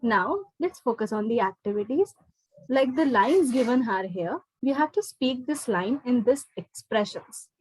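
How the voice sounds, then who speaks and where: A woman's voice: on the high side, lively intonation, a little slow, a little mellow, slight background noise, low-quality recording. A woman with an Indian accent teaching an interactive spoken English lesson online, instructing and often checking understanding with short questions. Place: online live class.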